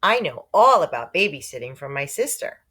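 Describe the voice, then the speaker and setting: A woman's voice: low voice, sing-song, normal speed, pushed and bright, husky, heavily acted. A woman voicing a bossy, eager fourth-grade girl from a children's story, insistent and sing-song. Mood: confident.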